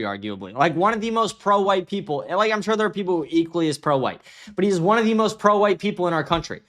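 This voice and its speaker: High-pitched man, blunt and scornful. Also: a bit bright. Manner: blunt, scornful, repeats phrases for emphasis. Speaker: host of a political commentary stream. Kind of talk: unscripted livestream commentary, profane ranting.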